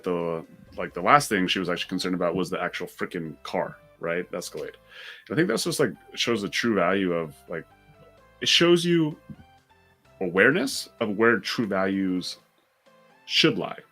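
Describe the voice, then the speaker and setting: A man's voice: deep voice, lively intonation, moderate pace, a bit bright, faint music behind. A man chatting casually as a vlog host, telling a story in an informal way and repeating words as he works out his point.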